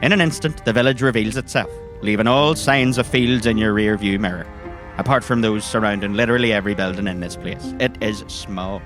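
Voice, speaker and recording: A man's voice: fairly low pitch, brisk, music in the background. A man with an Irish accent, giving wry scripted narration as a game master.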